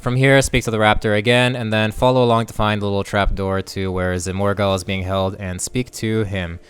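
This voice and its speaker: A man's voice: lowish voice, level tone, fairly quick. A man narrating a video game walkthrough as a voice-over, in a plain, step-by-step instructional way. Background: music under the voice.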